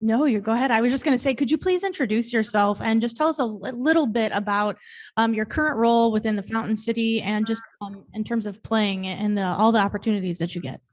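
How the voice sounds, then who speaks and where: A woman's voice: on the high side, quick pace. A woman hosting a masterclass, introducing a guest in a hesitant way with many ums. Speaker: host of masterclass.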